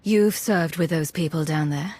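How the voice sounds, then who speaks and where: An actress playing a composed, confident military commander in a video game, assured and deliberate, with a husky voice. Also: female voice, low voice, dark tone. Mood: composed, serious.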